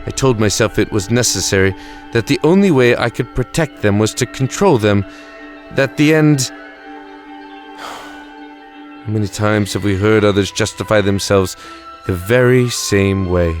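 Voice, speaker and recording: A man's voice: fairly low pitch, unhurried, long pauses, soft background music, close to an everyday voice. A man playing a weary, grandiose time-traveling alien emperor in an audio drama, self-justifying and reflective. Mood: weary, resigned.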